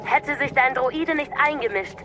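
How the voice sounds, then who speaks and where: A woman's voice: high voice, a little slow. Evil imperial inquisitor lady, cold smug taunting, radio play w music behind. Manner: taunting, condescending. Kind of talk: German audio drama villain acting.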